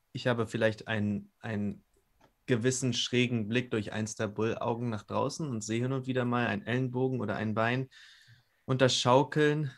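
Hesitant, anxious man improvising in character. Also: mid-range voice. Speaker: roleplay player. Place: attic room at home, online video call.

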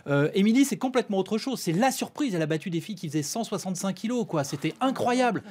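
A man working as a sports correspondent, discussing in an animated way at a radio studio microphone. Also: high voice, quick pace. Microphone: studio desk microphone.

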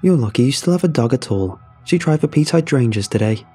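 A man's voice: medium pitch, animated, brisk, dark tone, husky, soft background music. Man reading a blunt neighbour woman. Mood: teasing.

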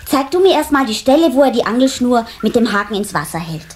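A woman's voice: on the high side, big pitch swings, fast. An actress playing a stubborn, cheeky little water sprite boy in a children's radio play. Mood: curious, scheming. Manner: cheeky, defiant. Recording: slightly noisy old cassette transfer.

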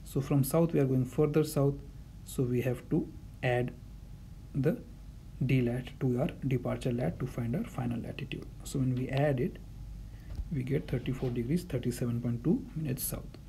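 Male tutor, mellow and gravelly, patient step-by-step delivery. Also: medium pitch, normal speed, slight background noise. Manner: patient, step-by-step, repeats key points. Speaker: navigation instructor. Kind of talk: whiteboard-style tutorial explanation.

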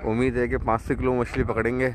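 A man's voice: medium pitch, little pitch movement, fast. A man in his thirties with a Pakistani Urdu accent, chatting to his phone at arm's length outdoors on a beach and a boat. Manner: chatty, showing things to the camera. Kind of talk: casual selfie narration. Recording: engine noise behind.

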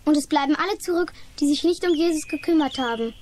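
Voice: high voice, quick pace, darkish tone, natural voice. A young performer playing a firm, pious, matter-of-fact child in a children's radio play. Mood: assured. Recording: 1980s radio play, faint noise.

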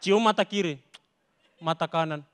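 A man's voice: a little high, normal speed, fairly bright tone, clear, resonant tone. A young man with a Makassar Indonesian accent performing stand-up comedy, telling stories in an emphatic, theatrical way into a handheld mic. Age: young adult. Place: large TV studio stage with audience. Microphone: handheld microphone.

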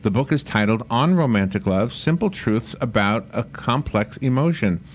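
A man's voice: medium pitch, lively intonation, normal speed. A man hosting a radio show, bantering casually and interviewing in a studio. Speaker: radio host.

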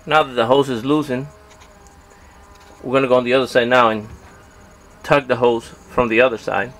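A man's voice: medium pitch, level tone, normal speed, fairly bright tone, faint music behind, low-quality recording. A man giving a casual step-by-step how-to on his boat outdoors, talking plainly with fillers. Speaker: DIY boat video host.

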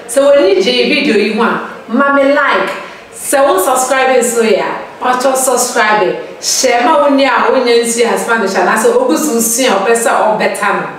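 A young woman teaching beginner phrases slowly and with lots of repetition, gesturing as she drills each word. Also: fairly high pitch. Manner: animated hand gestures, drawn-out drilled phrases. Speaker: language teacher. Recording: echoey room, distant mic.

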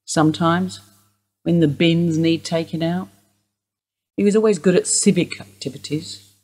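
A husky-voiced older widow, played by a woman, speaks in a radio drama. She is dry, blunt and unsentimental under police questioning. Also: fairly low pitch, fairly even intonation, a little slow, darkish tone. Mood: matter-of-fact. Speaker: actor in a radio play.

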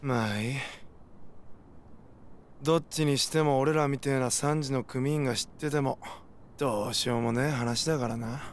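Actor as gruff, short-tempered young yakuza man. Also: medium pitch, normal speed, long pauses, a little hiss or hum, close to an everyday voice. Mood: gruff explaining. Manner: gruff, rough street slang, scolding. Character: short-tempered young male yakuza, bossy toward juniors. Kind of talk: video game cutscene acting.

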